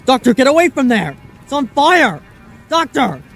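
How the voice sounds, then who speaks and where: A man's voice: extremely high pitch, very animated, moderate pace, fairly bright tone, faint music behind, heavily acted. A man performing earnest, alarmed adventurers in a fan-made audio drama, with dramatic, emotive delivery. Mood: panicked, shouting. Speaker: voice actor performing all parts.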